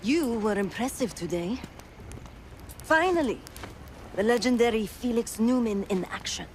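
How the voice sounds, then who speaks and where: An actress playing a wry, cynical covert operative in a video game cutscene. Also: female voice, a little high, animated, unhurried, background noise. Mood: wry, curious.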